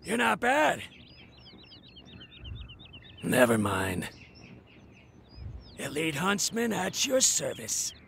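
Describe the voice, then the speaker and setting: An actor voicing a cynical, laid-back male huntsman with a raspy voice and wry humour for a video game. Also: on the high side, wildly swooping pitch, extremely slow, long pauses, fairly bright tone, slight background noise, heavily acted. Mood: wry, deadpan. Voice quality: gravelly, raspy.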